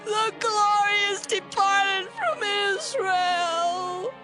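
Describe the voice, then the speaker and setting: A woman's voice: very high voice, very slow, over loud music, heavily acted. A woman playing an anguished, weak, dying young mother in a dramatized Bible reading. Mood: anguished grief.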